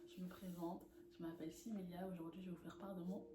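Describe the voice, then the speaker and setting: Young woman from france telling her faith story, earnest, laughs a lot, low-ish voice, echoey room. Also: fast talker. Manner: earnest and animated, laughing often. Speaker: testimony speaker. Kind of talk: personal faith testimony.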